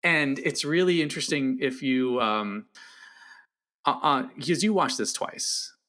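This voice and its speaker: A man with a bright, forward voice at a middle pitch discusses a film casually. He speaks haltingly, feeling for his words, with an agreeable manner. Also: animated. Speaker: podcast co-discussant.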